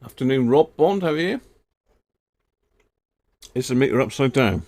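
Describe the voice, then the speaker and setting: A man's voice: medium pitch, animated, normal speed, darkish tone. A man hosting a live stream about radio gear, chatting casually and laughing at his own mistakes as he works, his voice moving nearer and farther from the mic. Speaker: radio hobby livestream host.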